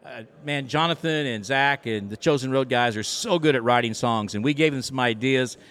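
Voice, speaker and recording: Male American small-town pastor, casual podcast co-host at a live show, medium pitch. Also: moderate pace, a bit bright. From small-town United States. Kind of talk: casual podcast talk before a live audience.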